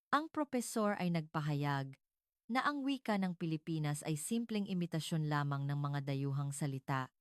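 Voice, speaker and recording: A woman with a low-pitched voice reads a scripted first-person story. Her delivery is formal and measured, as a narrator's is. The sound is close and dry, with almost no room in it. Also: no room sound.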